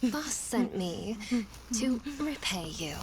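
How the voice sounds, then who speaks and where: An actress playing a seductive, teasing and menacing interrogator in an intimate, ASMR-like game trailer, with a taunting, drawn-out delivery. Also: female voice, fairly high pitch, animated, background noise. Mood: teasing threat.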